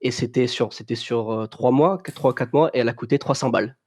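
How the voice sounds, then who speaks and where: A man's voice: medium pitch, brisk, darkish tone. A young man from France talking casually as a guest, full of fillers and restarts. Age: young adult. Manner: hesitant, many fillers and restarts. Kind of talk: casual chat.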